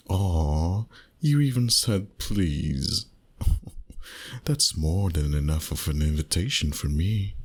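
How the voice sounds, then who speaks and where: A man voicing a flirty, dominant vampire boss in an intimate, teasing ASMR roleplay, soft and breathy. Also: low voice, animated, heavily acted. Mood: hungry, seductive. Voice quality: breathy, husky, often near a whisper. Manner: slow, soft, teasing seduction.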